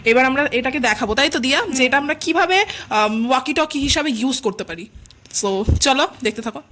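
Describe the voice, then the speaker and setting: High-voiced woman reviewing. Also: expressive, quick pace, a bit bright, a little hiss or hum. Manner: explanatory, addressing viewers directly. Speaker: vlog host and product reviewer. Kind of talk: casual product review chat mixing in English words.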